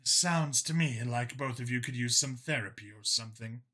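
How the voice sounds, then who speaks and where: A man reads the part of a practical woman officer in a sci-fi audiobook. The delivery is measured and direct, with concern for a comrade. The tone is very bright and crisp-edged. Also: mid-range voice.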